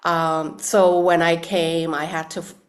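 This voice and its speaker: A woman's voice: lowish voice, little pitch movement, a little slow. A woman from Hong Kong, speaking thoughtfully as an interview guest, with many restarts and fillers. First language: Cantonese. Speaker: actress guest. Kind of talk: casual interview chat.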